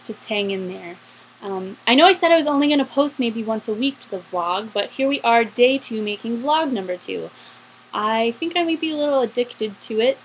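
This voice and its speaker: A woman's voice: fairly high pitch, animated, normal speed, a little hiss or hum, rough recording. A young adult woman giving casual, upbeat advice in a chatty vlog style, stretching words for emphasis. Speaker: vlogger giving interview advice.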